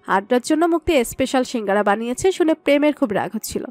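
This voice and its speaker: A woman narrates a scripted story in a high-pitched voice. The sound is close and dry, with no room around it. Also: expressive, fast, a little mellow, dry recording, faint music behind.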